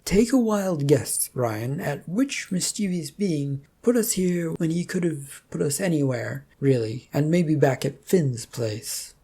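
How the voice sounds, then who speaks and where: A wry, sensible friend in an audio story, played by the narrator, patient and a bit weary. The delivery is dry and matter-of-fact in a mellow, gravelly voice. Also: mid-range voice, lively intonation, a little slow, few pauses.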